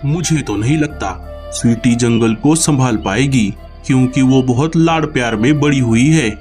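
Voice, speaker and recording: A man's voice: mid-range voice, moderate pace, darkish tone, faint music behind, natural voice. A man voicing an aging, principled bird king in a cartoon story, stern and responsible in manner. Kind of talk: Hindi cartoon story acting.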